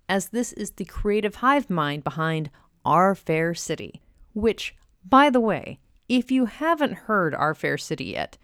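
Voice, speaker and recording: A woman's voice: mid-range voice, clean tone, no room sound, clean studio sound. A woman podcast host giving a semi-scripted introduction in an enthusiastic, emphatic manner.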